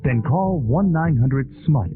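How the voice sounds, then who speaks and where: A man's voice: mid-range voice, expressive, slow, faint music behind. A man playing a straight-faced, salesy announcer in a parody radio commercial. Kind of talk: parody radio advert read. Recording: tinny, narrow-band lo-fi audio.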